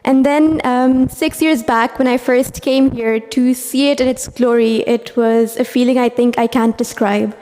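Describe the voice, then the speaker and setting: A young woman giving an earnest prepared speech at a mosque event, often pausing on "um". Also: on the high side, steady tone, darkish tone. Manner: earnest, with frequent "um"s. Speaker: speaker at a mosque anniversary. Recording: long hall reverb.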